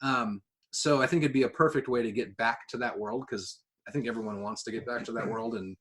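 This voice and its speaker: A man co-hosting a podcast, talking in an enthusiastic, informal way as he pitches his ideas. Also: a little fast, a bit bright. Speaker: podcast co-host. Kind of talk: casual podcast chat.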